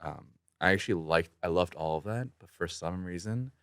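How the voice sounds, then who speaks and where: A man's voice: sits low, normal speed, fairly bright tone, clear, resonant tone, no echo, clean studio sound. A young man born in South Korea, a fashion company founder, chatting casually as an interview guest and laughing easily.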